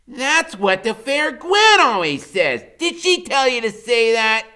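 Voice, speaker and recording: High-pitched, pompous boy genius. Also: big pitch swings, slow, pushed and bright, exaggerated voice. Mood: indignant. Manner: pompous, exclamatory, impatient. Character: bossy, bookish, hyperactive, theatrical genius boy. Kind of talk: children's novel character reading.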